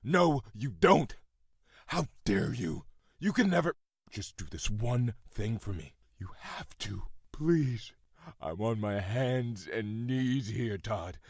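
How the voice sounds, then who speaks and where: A man acting as a broken older prisoner and father in an audio drama. His voice is very low and heavy, and he speaks slowly, halting and pleading in anguish. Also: animated, husky, dry recording, studio quality, exaggerated voice. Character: grief-broken old imprisoned father begging to die.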